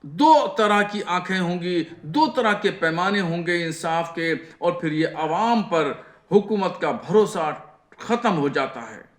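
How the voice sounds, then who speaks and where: Slow, high-voiced cleric in echoey room. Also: male voice, lively intonation. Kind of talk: statement to reporters.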